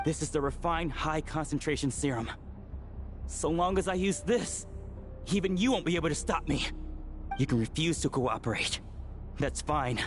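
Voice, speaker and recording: A man playing an obsessive young game character in a long, cavernous reverb. His voice is high and very animated, dramatic, defiant and sure of himself. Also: normal speed, pauses often, soft background music, natural voice. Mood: desperate, defiant. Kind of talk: video game voice acting.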